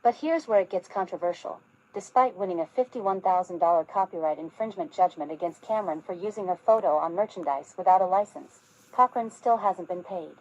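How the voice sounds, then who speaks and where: Scripted news narrator, woman, lower pitch, even polished read. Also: fairly quick, dull audio.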